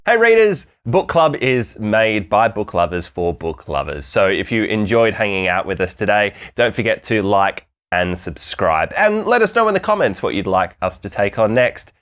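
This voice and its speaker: A man's voice: on the low side, very animated, normal speed, few pauses, a bit bright. A man reader giving an upbeat sign-off to his audience. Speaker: reader signing off. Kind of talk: upbeat outro to listeners.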